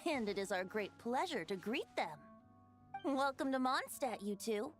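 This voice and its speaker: A gracious, cheerful welcomer, acted by a woman, very high in pitch and lively in melody. The phrasing is polite and lyrical, anime game style, over music. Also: normal speed, lightly acted. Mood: welcoming. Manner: polite, formal, poetic.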